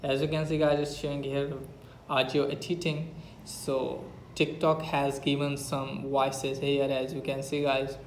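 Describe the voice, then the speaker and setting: A man's voice: medium pitch, monotone, measured pace. A man narrating a step-by-step phone app tutorial with repetitive instructional phrasing. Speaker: tech tutorial YouTuber. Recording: roomy echo, faint background noise.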